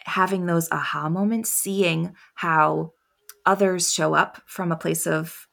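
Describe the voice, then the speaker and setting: A woman coaching on confidence as a podcast guest, soft and quiet, taking long pauses between her thoughts. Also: on the low side, steady tone, vocal fry. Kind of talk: reflective interview answers.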